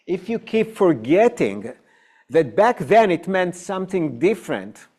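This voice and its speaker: A man with an Israeli accent, a native Hebrew speaker, teaching an informal Bible class and putting questions to his students. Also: sits high. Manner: unhurried, explaining with questions to the class. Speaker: rabbi teaching adult education. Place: synagogue classroom.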